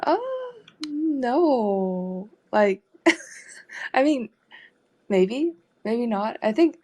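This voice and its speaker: A young woman from Taiwan, an artist guest, talking in a relaxed way with hesitant fillers and easy laughs. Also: a little high, drawn-out words. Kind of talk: casual interview chat.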